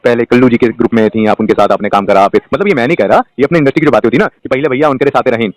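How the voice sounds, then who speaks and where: A man's voice: mid-range voice, racing, no echo. A man interviewing a guest, asking probing questions with hesitant fillers and pressing for answers.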